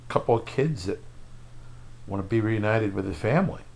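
A man in his forties, a paranormal investigator calling out and provoking spirits in a dark bedroom at night. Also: on the low side, lively intonation, normal speed, gravelly. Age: 40s. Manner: provoking, challenging. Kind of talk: ghost-hunting session talk.

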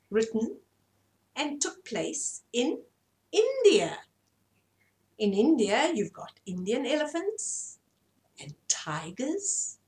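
A middle-aged woman hosting storytime, reading and explaining a children's story expressively to small children. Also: a little high. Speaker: storytime host. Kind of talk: read-aloud children's bedtime story. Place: small furnished room indoors.

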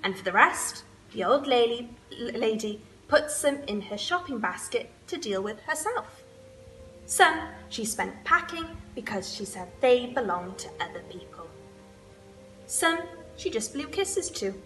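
Young female storyteller for picture books: expressive, slow-paced, slightly high voice. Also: faint music behind, poor audio. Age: young adult. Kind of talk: expressive children's story reading. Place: indoors, room with wood-panelled wall and sofa.

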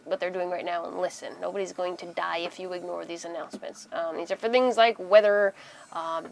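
Woman casually explaining in a tutorial. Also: mid-range voice. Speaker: sailing vlogger and instructor. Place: small wood-panelled boat cabin, indoors.

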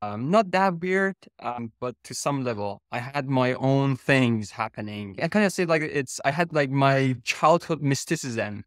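A man in his thirties from Iran, raised in Canada, talking as a guest researcher over a video call from a home office, speaking haltingly with many fillers. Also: mid-range voice, animated, no room sound, studio quality. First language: Persian. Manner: hesitant, searching for words with many fillers. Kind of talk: relaxed video-call interview.

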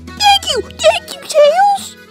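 A performer voicing an excitable, whiny little echidna child in an animated comic dub, with squealing, exaggerated delivery. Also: extremely high pitch, slow pace, full character voice. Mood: delighted. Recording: music behind the voice.

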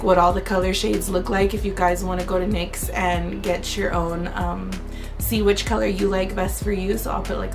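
A young woman in her twenties with a hoarse, raspy voice from a sore throat, chatting casually to camera about her shopping haul and filling her talk with "um" and "like.". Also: medium pitch. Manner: chatty, many "um" and "like" fillers. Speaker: beauty vlogger.

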